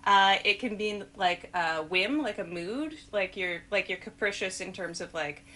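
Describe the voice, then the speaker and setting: A woman presents a casual quiz in an upbeat, chatty way. Her voice sits at an ordinary middle pitch, and she is quick to laugh. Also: pushed and bright, slight background noise. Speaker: host of a language quiz video. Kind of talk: casual game-show presenting and chat.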